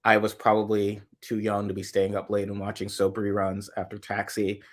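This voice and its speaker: A man from the American South co-hosting a casual chat, thoughtful and halting, often restarting his sentences. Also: on the low side, completely flat delivery. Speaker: co-host.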